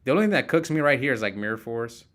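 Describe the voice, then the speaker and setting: A man streams an online card game with a bright, crisp-edged voice. He thinks aloud about his moves in a casual way, restarting phrases and chatting to the people watching. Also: medium pitch, animated, racing. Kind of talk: casual live game commentary, chatting with viewers.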